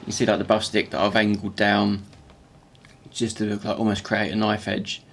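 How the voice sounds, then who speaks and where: Guy with a croaky gravelly morning voice, low and flat, stumbling and restarting as he explains his workshop craft. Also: slight background noise. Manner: halting, with many repeated words and restarts. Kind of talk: casual workshop tutorial voice-over.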